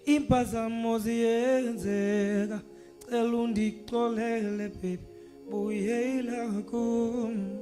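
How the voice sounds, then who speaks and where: Woman singing a slow pleading ballad. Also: fairly high pitch, fairly even intonation. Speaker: singer. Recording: faint music behind.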